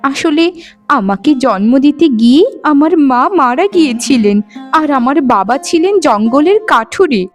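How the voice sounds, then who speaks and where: A woman playing a sweet, lonely and innocent young forest maiden in a fairytale cartoon, speaking earnestly and politely. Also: sits high. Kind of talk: Bengali fairytale cartoon dialogue.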